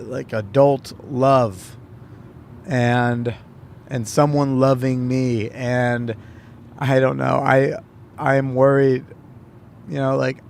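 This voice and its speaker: A man hosting a podcast, talking casually in a rambling, halting way with many fillers. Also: mid-range voice, creaky, darkish tone, a little hiss or hum. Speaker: podcast host; comedian. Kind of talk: casual solo monologue.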